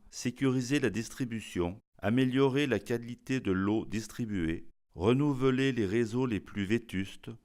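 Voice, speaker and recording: A man's voice: fairly low pitch, darkish tone. A man presenting a prepared, formal text as narration for an institutional documentary. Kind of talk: formal scripted narration, read aloud.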